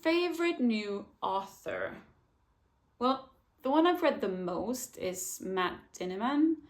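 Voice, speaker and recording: A woman's voice: a little high, animated, slow. A woman chatting casually to camera about her reading year, often hesitating and restarting her sentences. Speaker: book vlogger. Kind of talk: casual book talk to camera.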